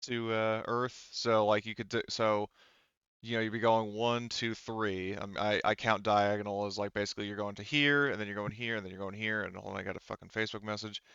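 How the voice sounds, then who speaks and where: A man's voice: fairly low pitch, flat delivery, brisk, fairly bright tone, smooth, no room sound, highs cut off. A man acting as game master in a casual tabletop roleplaying session, explaining and thinking aloud.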